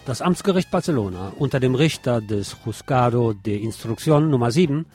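A man presenting scripted local news on the radio in a measured newsreader's delivery. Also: mid-range voice, lively intonation, dark tone, slightly rough, soft background music.

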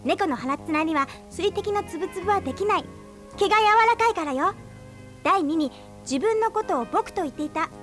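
A woman's voice: extremely high pitch, expressive, soft background music. An actress playing a spirited, stubborn young woman in a radio drama, arguing her case insistently. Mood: animated. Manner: quick-witted, debating, insistent. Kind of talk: 1990s radio drama acting.

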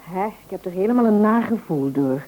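Casting: woman as middle-aged housewife and mother for a radio serial, very lively intonation, fussing and caring. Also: medium pitch, fairly quick, natural voice, low-quality recording. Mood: fussing, apologetic. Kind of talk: Dutch radio serial acting.

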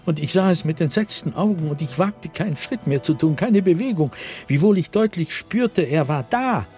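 An actor playing a tormented, desperate man obsessed with an unseen being, in a theatrical, halting German radio drama monologue. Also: a little high, expressive, darkish tone, soft background music, lightly acted. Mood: horrified. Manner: halting, repetitive, dramatic, with anguished emphasis.

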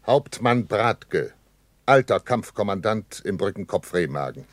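An actor playing a pressed German army captain in a 1960s radio play, with insistent, formal soldierly address. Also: male voice, lowish voice, lively intonation, measured pace, dry recording.

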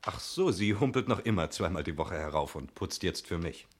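An actor in a 1960s German radio play, playing a breezy, talkative stranger who is glib, jovial and evasively polite. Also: male voice, sits low, animated, moderate pace. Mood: smoothly explaining. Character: breezy, talkative male stranger claiming to own the chalet.

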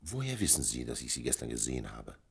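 An actor in a German radio play voicing a courteous, diplomatic gentleman, urbane and measured, with a gravelly, husky voice. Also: extremely low pitch, huge pitch swings, full character voice.

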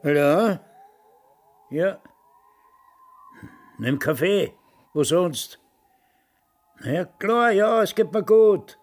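An actor in a radio drama playing a cantankerous, fatalistic old father with a raspy, creaky voice. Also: high-pitched, expressive, drawn-out words, long pauses, soft background music, exaggerated voice. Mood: flustered, distracted. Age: older. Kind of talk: German radio drama acting.

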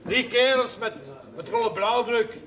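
An actor playing an agitated village eyewitness in a Dutch period TV drama, speaking emphatically. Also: male voice, extremely high pitch, unhurried, a bit bright. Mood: agitated, emphatic. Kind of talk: 1970s Dutch television period drama acting. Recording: distant voice amid crowd noise.

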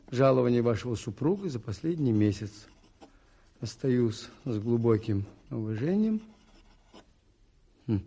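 Radio play: soft-toned, husky priest, polite, measured, quietly persistent, compassionate. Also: male voice, mid-range voice. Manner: polite, measured, gently insistent. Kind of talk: radio play acting and first-person narration in Russian.

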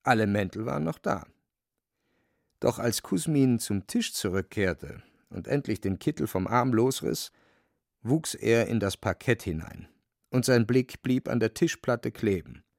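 A gravelly male narrator of middling pitch, recorded close and dry. He reads literary prose for a radio drama in a scripted storytelling style. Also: lively intonation, measured pace, dry recording. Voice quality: creaky, dry.

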